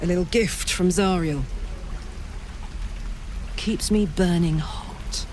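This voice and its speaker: A woman's voice: fairly low pitch, slow, darkish tone, slight background noise. A woman playing a brash tiefling warrior escaped from hell, frank and wry, in a video game cutscene.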